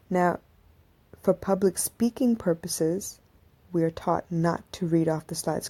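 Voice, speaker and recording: A woman's voice: fairly low pitch, mellow. A woman in her thirties hosting a podcast, talking to listeners in a casual, reflective monologue with asides. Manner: conversational, reflective, with restarts and asides. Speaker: podcast host. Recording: live audio stream from a phone.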